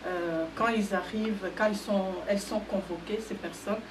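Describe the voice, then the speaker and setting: A woman who founded an association, answering interview questions in a measured way with some fillers. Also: medium pitch, steady tone. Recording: roomy sound from a distant mic with background noise.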